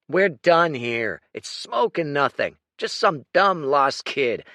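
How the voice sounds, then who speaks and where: A man's voice: a little high, very animated, takes it slowly, pushed and bright, dry recording, voice pushed up, heavily acted. A man voicing an impatient, scornful army lieutenant in an audiobook, barking his lines. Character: gruff, impatient male soldier lieutenant.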